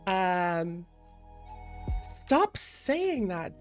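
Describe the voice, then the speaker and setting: A woman hosting a livestream, chatting casually and playfully with viewers in an expressive way over background music. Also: on the low side, extremely slow. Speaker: streamer, variety VTuber and host.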